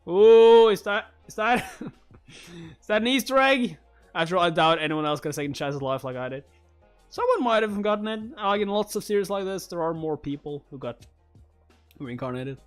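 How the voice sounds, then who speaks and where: A man hosting a live read-along reaction, excitable and jokey, in casual commentary. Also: fairly high pitch. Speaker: reaction video host.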